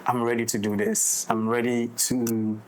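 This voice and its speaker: A man's voice: mid-range voice, level tone, normal speed, a little hiss or hum, low-quality recording. A man talking casually and expressively as a director, often repeating phrases for emphasis. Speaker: audio drama director in discussion. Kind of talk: casual unscripted conversation.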